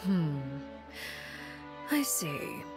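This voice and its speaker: A commanding female leader from a game, speaks in a lowish voice. She is cool, formal and guarded, choosing words with measured menace over background music. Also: very animated, heavily acted. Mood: cool, probing. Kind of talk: video game character acting.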